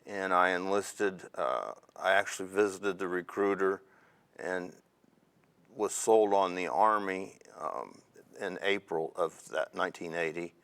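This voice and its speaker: A man in his fifties from Indiana with a very low voice recalls his army days. He talks in a measured, reflective way and pauses often. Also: fairly bright tone. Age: 50s. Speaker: interview guest, Army veteran. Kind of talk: oral history interview.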